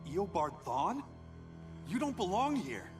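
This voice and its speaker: A weary retired superhero in a video game cutscene. His voice sits on the high side and moves around expressively, earnest with a wry, regretful edge. Loud music plays behind him. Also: big pitch swings, takes it slowly, full character voice. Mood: guarded, challenging. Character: weary retired male speedster superhero seeking redemption. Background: loud cinematic music.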